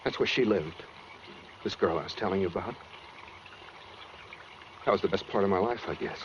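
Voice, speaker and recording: An American man playing a brooding, coldly calm escaped killer in a 1940s radio suspense drama, speaking matter-of-factly with quiet menace. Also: low-pitched, moderate pace, natural voice. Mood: bitter reminiscing. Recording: dull 1940s radio recording with noise.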